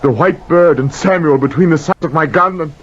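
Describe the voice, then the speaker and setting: A man's voice: a little high, fairly quick, close to an everyday voice. An actor playing a guilt-ridden sailor telling his tale in solemn, archaic words in old-time radio drama style. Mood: anguished confession. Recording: muffled, noisy vintage radio recording.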